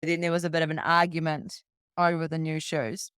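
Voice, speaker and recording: A woman from New Zealand with a New Zealand accent, guesting on a podcast and telling a long, brisk travel story full of quoted dialogue. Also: low-pitched, flat delivery, a bit bright, clean tone, no room sound, clean studio sound. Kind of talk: casual storytelling.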